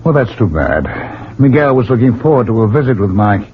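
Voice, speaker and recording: A man acting as an affable, scholarly husband in middle age. His delivery is gentle and conversational with lively swings of pitch, in 1970s radio drama style. The recording is slightly muffled with faint noise. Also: normal speed, lightly acted. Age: middle-aged.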